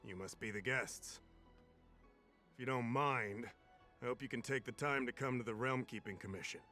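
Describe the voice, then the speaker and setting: A man's voice: mid-range voice, normal speed, pushed and bright, natural voice. Man acting a polite official, music behind. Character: polite, earnest male official seeking help. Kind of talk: video game voice acting.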